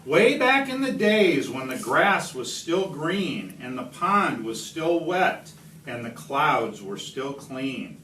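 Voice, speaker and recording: A man reading a rhyming picture book aloud to children, expressively, with deliberate, dramatic pauses. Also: on the high side, big pitch swings, sharp tone. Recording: roomy, distant home video with slight noise.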